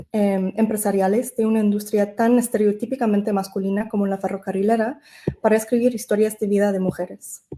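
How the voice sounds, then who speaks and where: A woman who is not a native Spanish speaker, reading a prepared academic lecture as an invited speaker, measured and careful with occasional self-corrections. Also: medium pitch, warm tone.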